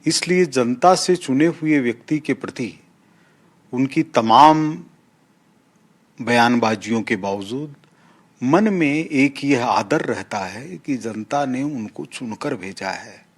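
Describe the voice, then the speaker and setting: A man giving a measured, formal political statement with deliberate, emphatic pauses. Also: medium pitch, lively intonation.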